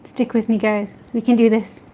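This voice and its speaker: A woman's voice: on the high side, level tone, normal speed, warm tone, a little hiss or hum, rough recording. A woman from the East Coast of the United States with an American accent, chatting casually with viewers while she draws late at night at home and often laughing between remarks. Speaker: art streamer. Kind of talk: casual chat while drawing, answering live chat.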